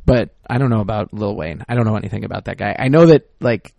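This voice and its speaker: A man's voice: lowish voice, quick pace, creaky, warm tone, no echo. A middle-aged man chatting casually as a podcast co-host, rambling and exasperated, often cutting himself off mid-word. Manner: rambling, exasperated, self-interrupting. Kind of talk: casual chat.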